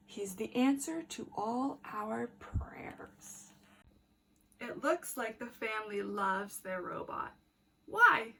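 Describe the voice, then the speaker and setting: A woman teacher reading a picture book aloud to children in an animated, deliberate way, pausing to ask questions. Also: sits high, gravelly.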